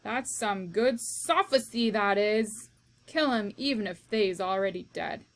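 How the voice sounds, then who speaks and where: A woman voicing a simple, clumsy young serving girl with uneducated, slow-witted speech. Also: mid-range voice, animated. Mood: dull, plain.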